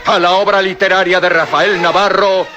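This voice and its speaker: A man narrating and announcing a vintage radio drama serial in a theatrical, booming style. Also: level tone, normal speed, highs cut off. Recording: old radio recording with music bed.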